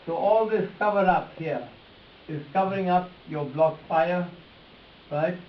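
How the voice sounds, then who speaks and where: A man with a slightly high voice leads a live hands-on demonstration, speaking directly to volunteers and repeating his points. He is picked up from a distance in an echoey room, with a dull, faintly hissy sound. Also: a little slow. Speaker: face reading teacher.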